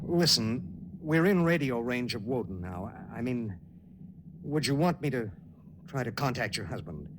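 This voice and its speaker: An actor playing a grim, weary spaceship pilot in a 1950s science fiction radio drama, terse and hard-boiled, with dramatic delivery. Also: male voice, medium pitch, animated, normal speed, noisy background, lightly acted. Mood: subdued. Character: grim, weary male spaceship pilot narrating his ordeal. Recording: vintage radio recording with music behind.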